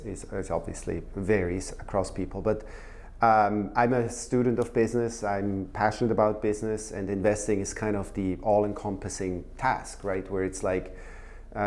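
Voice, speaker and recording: A middle-aged man from Switzerland, a guest giving measured interview answers full of filler sounds. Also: fairly low pitch, darkish tone. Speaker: guest, former finance executive.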